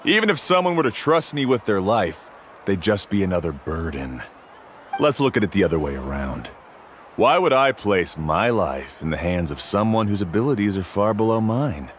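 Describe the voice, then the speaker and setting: An arrogant lone cave guide. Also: male voice, on the low side, sing-song, normal speed, slight background noise. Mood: scornful. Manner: condescending, dismissive. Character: arrogant, aloof star cave guide, adult man. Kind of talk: video game dialogue.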